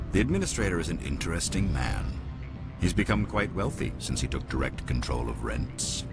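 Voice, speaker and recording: An actor playing an old alien businessman in a video game, suave and dryly scheming, with measured formal speech. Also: sits low. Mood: courteous, wry. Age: older. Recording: music behind the voice.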